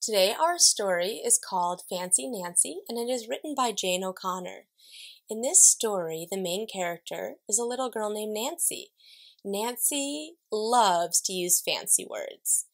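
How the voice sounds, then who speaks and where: A woman's voice: mid-range voice, expressive, measured pace, creaky. A woman, introducing a read-aloud story to young children in a teacherly way. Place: small furnished room indoors with curtains.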